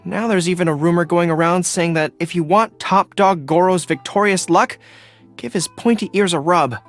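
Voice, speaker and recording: A man voicing an earnest, dutiful young dog-eared general in a video game, with crisp military diction. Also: high voice, moderate pace, a bit bright, faint music behind. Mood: earnest, proud. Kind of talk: video game character voice acting.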